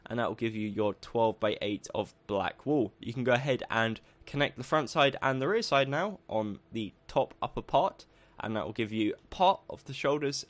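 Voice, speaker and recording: A man with a croaky voice, narrating a building tutorial as a voice-over, upbeat and lively, slowing down as he lists steps. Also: medium pitch. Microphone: voice-over over game footage.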